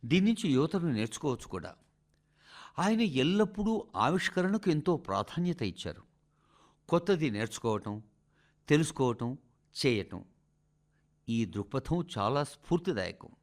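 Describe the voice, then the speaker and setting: A man reading a formal scripted speech for radio in measured, emphatic oratorical phrases. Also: a little high, sing-song.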